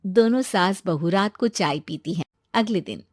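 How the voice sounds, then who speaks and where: A woman's voice: mid-range voice, expressive, normal speed, no room sound, studio quality. A woman narrating a scripted moral story as a storyteller.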